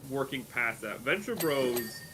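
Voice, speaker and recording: A man's voice: mid-range voice, lively intonation, measured pace, background noise. A man streaming a game, talking casually and animatedly, with a rambling flow full of fillers. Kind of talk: casual chat while gaming.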